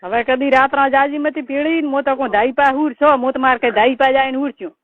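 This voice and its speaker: A woman's voice: high-pitched, fairly even intonation, brisk, dry recording. A woman with a Marwari accent chatting casually on a private phone call in a blunt, gossipy way. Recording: tinny, narrow phone-call recording.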